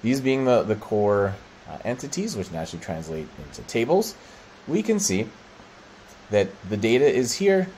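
A middle-aged American man presenting a software walkthrough, explaining step by step in short, halting phrases. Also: medium pitch, very animated, a little hiss or hum. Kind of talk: screen-share product walkthrough.

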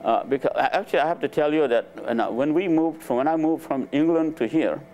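An older man from Nepal, a native Nepali speaker, recounting his life in a relaxed, hesitant way with many fillers, on a lapel mic in a lecture room. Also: fairly high pitch, a little fast. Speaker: interviewed scientist. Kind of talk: relaxed conversational storytelling. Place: lecture room with a small audience.

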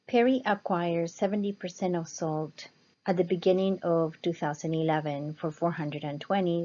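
A woman instructor explaining slides step by step in a measured lecture style. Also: on the low side, a little mellow. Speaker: accounting instructor. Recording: dull, muffled lo-fi voice-over.

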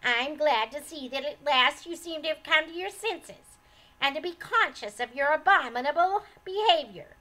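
A woman voicing a harsh, scornful old mother-in-law who speaks loudly and haughtily. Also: high voice, animated, normal speed, voice pushed up, low-quality recording. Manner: snapping, haughty, loud.

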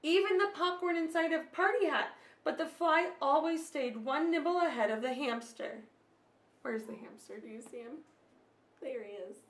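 A woman's voice: sits high, animated, normal speed. A woman in her thirties reading a picture book aloud to children, expressively, with little questions and asides to them. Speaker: children's storyteller. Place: small room at home, indoors. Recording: slightly echoey room.

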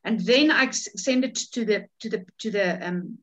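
A woman's voice: mid-range voice, moderate pace, a bit bright, highs cut off. South African woman, chatty author. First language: Afrikaans. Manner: chatty, many restarts and repeated words. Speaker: author leading a writing workshop. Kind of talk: casual workshop talk on a video call. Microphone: video call webcam.

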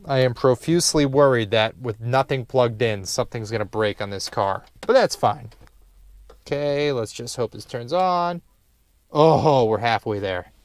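A man's voice: medium pitch, unhurried, smooth, dry recording. A man giving casual, hands-on vlog commentary with dry, sarcastic humour and the odd swear word. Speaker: vlogger doing a car installation. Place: in and around a parked car in a driveway.

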